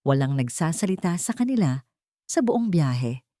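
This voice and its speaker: A woman reading a scripted dramatic story in a measured storytelling manner. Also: sits low, big pitch swings, mellow, dry recording, studio quality. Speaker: story narrator. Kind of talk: scripted dramatic narration of a Tagalog romance story.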